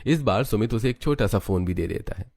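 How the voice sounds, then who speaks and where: Low-pitched male storyteller. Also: animated, fairly quick, a little mellow. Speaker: story narrator. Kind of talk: scripted Hindi moral story narration.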